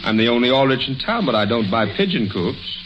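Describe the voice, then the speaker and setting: An actor playing a busy, middle-aged American small-town lawyer in a 1940s radio comedy, blustery and easily flustered. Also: male voice, mid-range voice, big pitch swings, a little fast, lightly acted. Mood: exasperated, suspicious. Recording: noisy, muffled old radio recording.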